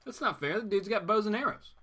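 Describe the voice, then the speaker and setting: Animated bright-voiced man retelling a story. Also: high voice, fast, breathy edge. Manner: animated, slangy, wry asides. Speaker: host reading comic books aloud. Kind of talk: casual dramatic reading with commentary.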